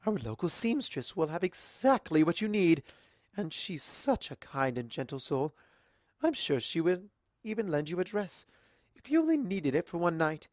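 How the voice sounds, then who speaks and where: A performer voicing an elegant, gracious unicorn mare socialite in a storybook reading, with refined, effusive, drawn-out phrasing. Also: mid-range voice, very animated, darkish tone, no echo, studio quality, voice pushed up, lightly acted. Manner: effusive, genteel, drawn-out words.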